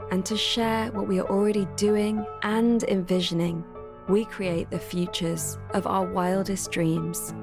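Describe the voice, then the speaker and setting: A woman hosting a podcast, reading a scripted welcome in a calm, measured, earnest way. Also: lowish voice. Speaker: podcast host.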